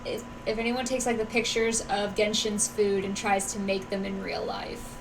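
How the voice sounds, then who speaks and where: A woman's voice: mid-range voice, steady tone, normal speed, noisy background. A woman streamer giving casual live commentary on a game. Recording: game music behind. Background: game music.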